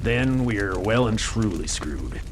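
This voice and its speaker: An actor playing a gruff, practical frontier guide who talks bluntly and folksily. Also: male voice, lowish voice, expressive, slow pace, slight background noise.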